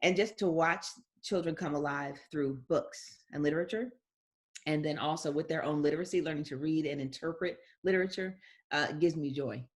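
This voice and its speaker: A middle-aged woman, a guest educator, answering interview questions in a measured, reflective way, phrase by phrase. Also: low voice.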